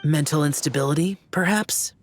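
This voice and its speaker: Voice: mid-range voice, moderate pace, faint music behind, close to an everyday voice. An actor playing a reserved, solemn youthful male guardian in a video game, with terse, formal delivery. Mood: puzzled, dry. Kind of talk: video game character dialogue.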